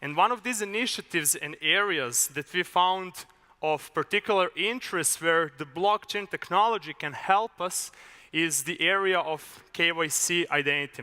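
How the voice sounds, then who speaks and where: A young man from Serbia, giving a measured, explanatory conference talk with a few filler uhs. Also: fairly high pitch, pushed and bright, smooth. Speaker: conference speaker. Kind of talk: conference presentation. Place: large conference hall. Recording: reverberant hall.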